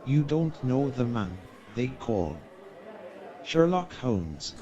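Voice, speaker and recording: A man playing a young, friendly, deferential gentleman in a comic radio play, speaking in theatrical, old-fashioned phrases. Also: mid-range voice, very animated, slow pace, lo-fi sound. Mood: confiding. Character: Stamford, young, friendly, deferential former hospital dresser. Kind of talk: comic stage-style radio play acting. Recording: clear audience noise behind the voice.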